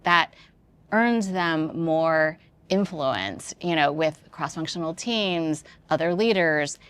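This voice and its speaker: A woman's voice: low voice, animated, slow pace, a bit bright, clear, resonant tone, no room sound. A woman speaking as an executive guest in a podcast interview, thoughtful and informal, with conversational filler phrases like "you know".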